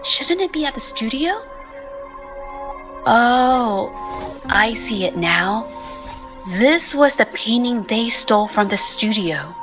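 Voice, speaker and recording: A woman voicing a shy, gentle young painter in a video game, speaking softly and hesitantly, often trailing off. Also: fairly high pitch, sing-song, slow pace, long pauses, music in the background. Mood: puzzled. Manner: soft-spoken, hesitant, trailing off.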